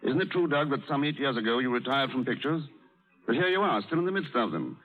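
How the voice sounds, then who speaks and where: A man's voice: medium pitch, very animated, quick pace, soft background music. A man announcing and interviewing in formal, polished, scripted 1930s radio style. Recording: tinny 1930s radio broadcast.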